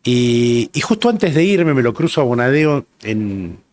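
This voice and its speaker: A man in his sixties with a Rioplatense Spanish accent, reminiscing at an easy, rambling pace. He wanders through his stories and fills the gaps with drawn-out "eh" sounds. His voice sits at a middle pitch. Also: sing-song, slight background noise. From Argentina. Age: 60s. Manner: rambling, reminiscing, many "eh" fillers. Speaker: rugby journalist guest. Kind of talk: relaxed anecdotal interview chat.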